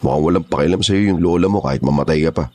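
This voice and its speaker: An actor playing a rough kidnapper's henchman in a horror audio drama, colloquial and easily rattled. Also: a bass voice, rapid-fire, a little mellow. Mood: taunting. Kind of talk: dramatised horror story acting.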